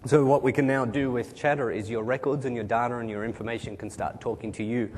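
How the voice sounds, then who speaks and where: A man presenting at a tech conference on a large stage, talking quickly and informally while losing his voice. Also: fairly even intonation. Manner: quick, informal, kind-of fillers. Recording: hall reverb, faint rumble.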